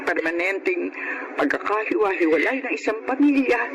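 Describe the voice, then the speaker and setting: A man's voice: sits high, expressive, moderate pace, noisy background. A man playing a sympathetic family friend in a radio drama, earnest and consoling. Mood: somber, consoling. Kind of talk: Filipino radio drama acting. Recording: music under the voice.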